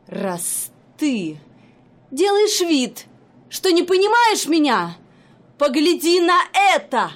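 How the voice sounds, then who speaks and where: Brief: actress as an outraged jealous wife, high voice, slow biting scolding, radio play. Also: female voice, big pitch swings, full character voice. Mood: furious. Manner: indignant, scolding, theatrical outbursts. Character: furious jealous wife accusing her husband.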